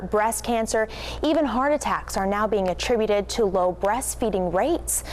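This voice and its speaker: Woman, TV news anchor, scripted newscast delivery, noticeable background noise. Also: mid-range voice. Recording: clearly noisy TV news aircheck.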